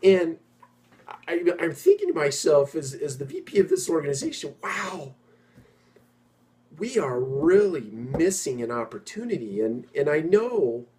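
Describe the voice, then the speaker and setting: Middle-aged male executive host, informal solo talk to peers, emphatic delivery with repeated phrases and mid-sentence pauses. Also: a little high, big pitch swings, a little mellow, a little hiss or hum. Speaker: property management executive and host. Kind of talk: informal monologue addressed to industry peers.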